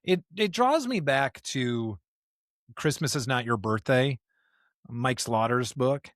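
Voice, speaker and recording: A man's voice: mid-range voice, lively intonation, takes it slowly, a bit bright, dry recording, clean studio sound. A man co-hosting a podcast, reflecting on faith conversationally in an earnest, emphatic way, with rhetorical questions. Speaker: podcast co-host. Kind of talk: conversational, reflective religious discussion.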